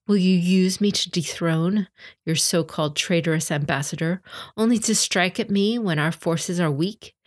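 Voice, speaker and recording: Lady narrator as stern noblewoman, kinda low voice, formal, challenging, audiobook drama. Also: lightly acted. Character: stern, accusing noblewoman and clan champion, wronged bride.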